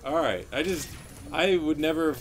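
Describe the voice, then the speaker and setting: A man's voice: fairly high pitch, animated, normal speed. A man co-hosting a studio game livestream, chatting casually and joking in loose banter. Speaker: stream co-host.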